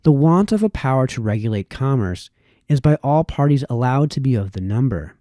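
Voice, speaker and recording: A man reads formal, old-fashioned prose aloud. His voice is mid-pitched and warm, with a relaxed, mellow tone. He phrases deliberately, occasionally tripping on a word, and the sound is dry with no room echo. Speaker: reader, host of a reading series. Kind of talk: reading aloud formal historical essays.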